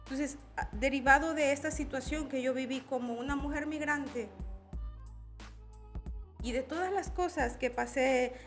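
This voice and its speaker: Salvadoran woman in her 40s, reflective activist guest, fairly high voice, faint music behind. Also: a little slow, long pauses. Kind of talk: personal testimony in interview.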